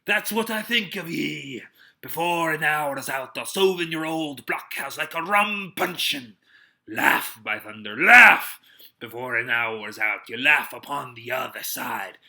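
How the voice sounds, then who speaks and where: A crafty old storybook pirate, with a growl and a very bright, forceful edge. He swaggers and bullies in rough sailor talk. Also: a little high, animated, unhurried, voice pushed up, full character voice. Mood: furious, threatening. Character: crafty, bullying old sea pirate with a crutch.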